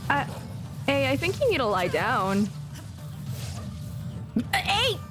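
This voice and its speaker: A woman's voice: sits high, very animated, slow pace. A woman giving casual, chatty live commentary as a game streamer, with playful asides. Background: faint game music.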